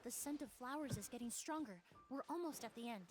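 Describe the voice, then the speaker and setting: A woman playing a composed, precise and quietly ominous young investigator in a video game. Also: on the high side, fairly quick, music in the background, lightly acted. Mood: focused. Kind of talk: scripted video game dialogue.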